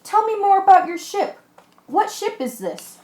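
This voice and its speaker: A woman's voice: very high voice, sing-song, unhurried, mellow, heavily acted. A woman acting a practical, commanding shipwrecked sailor in an amateur radio play, reading earnestly with small stumbles. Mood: calm, amazed. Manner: earnest, stumbles over scripted lines. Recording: echoey room recording.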